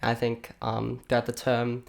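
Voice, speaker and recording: A man's voice: medium pitch, monotone, normal speed, vocal fry. A young man, chatting casually as a podcast guest, full of fillers and restarts. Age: late teens. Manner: hesitant, many fillers and restarts, laughs easily. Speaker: podcast guest, high school student. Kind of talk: casual chat.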